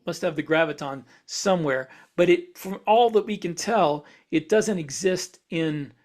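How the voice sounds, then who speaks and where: A man co-hosting a podcast, talking through ideas in a casual, explanatory way as he thinks aloud. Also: on the high side. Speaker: podcast co-host. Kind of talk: casual discussion of speculative science for fiction.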